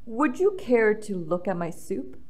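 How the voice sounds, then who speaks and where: A scheming gentleman fox from a children's storybook, acted out by a woman. He is suave and overly polite, drawing out his invitations slowly with plenty of swing in the voice. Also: medium pitch, very animated. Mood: sly, inviting. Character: sly, courtly male fox villain, inviting and scheming. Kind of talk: children's storybook read-aloud.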